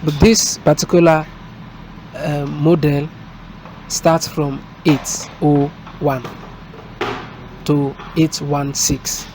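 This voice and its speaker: Male technician for hands-on tutorial: halting step-by-step delivery, slightly high pitch, clear street noise behind. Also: level tone, a little mellow. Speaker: technician presenting a product installation. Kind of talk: unscripted hands-on tutorial and review. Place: indoors at a desk, filmed from above. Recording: noisy room with street and vehicle sounds.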